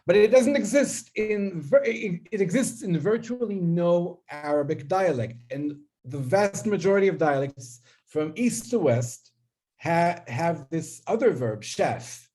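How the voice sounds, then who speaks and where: A man's voice: sits high, lively intonation, unhurried, mellow. A man whose first language is Hebrew, giving an academic linguistics lecture, hesitant, with many fillers and restarts, often checking in with "right?". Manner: asks listeners "right?".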